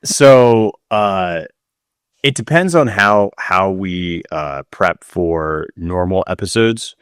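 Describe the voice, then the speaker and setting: A man with a fairly low voice chats as a podcast co-host. His phrasing is loose, informal and a bit slangy, and the sound is dry and close. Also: animated, slow pace, clear, resonant tone, dry recording. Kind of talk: casual chat.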